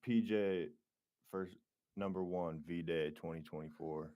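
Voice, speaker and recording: A man guesting on a music interview, talking excitedly and loosely with plenty of swearing. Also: deep voice, slow pace. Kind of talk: casual interview chat.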